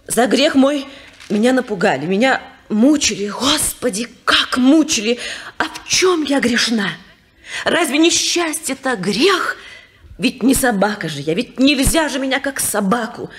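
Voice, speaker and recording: An actress playing a suffering, oppressed wife who pleads in an anguished way in an old radio play. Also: female voice, on the high side, expressive, measured pace, breathy edge. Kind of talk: 1950s radio play acting.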